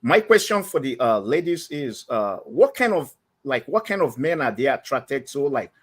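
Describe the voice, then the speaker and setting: A man's voice: mid-range voice, very animated, normal speed, slightly rough. A man from Nigeria with a Nigerian accent, calling in to a live stream show and talking hesitantly, with many fillers and restarts. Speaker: caller.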